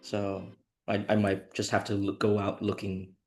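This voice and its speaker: A man from Thailand with a fairly low voice talks over a video call. He sounds easygoing and frank. He uses some fillers as he goes. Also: monotone, normal speed, a little mellow. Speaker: co-host of an online workshop. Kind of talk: casual online session chat.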